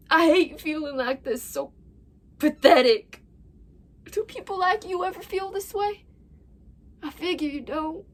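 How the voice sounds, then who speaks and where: A woman's voice: extremely high pitch, full character voice. A woman performing a dramatic monologue as a desperate young farm wife confessing to her absent husband in an anguished manner. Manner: anguished, swinging between whispers and outbursts. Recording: distant mic with faint background noise.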